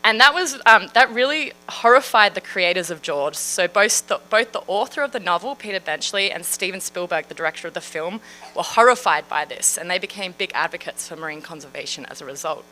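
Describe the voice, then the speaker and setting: A woman's voice: lowish voice, lively intonation, fairly quick, sharp tone, a little hiss or hum, low-quality recording. An Australian woman in her thirties, a marine scientist giving a friendly, chatty public science talk with frequent fillers. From Western Australia. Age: 30s.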